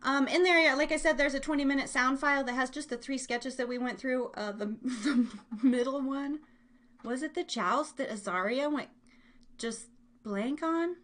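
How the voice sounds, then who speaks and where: Female podcast host, higher-pitched voice, chatty and rambling, playful and self-mocking, talking off the cuff to live listeners. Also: moderate pace, fairly bright tone. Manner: playful exaggeration and silly made-up words. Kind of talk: casual live chat show, talking to listeners in chat.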